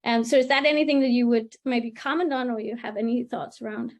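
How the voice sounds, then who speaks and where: Upbeat woman, higher voice. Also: fairly quick. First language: Danish. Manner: upbeat, chatty, searching for words. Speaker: product marketing manager. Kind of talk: webinar presentation.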